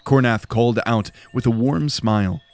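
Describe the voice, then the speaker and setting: A man's voice: medium pitch, normal speed, darkish tone. A man giving dramatic scripted narration for a fantasy audio drama.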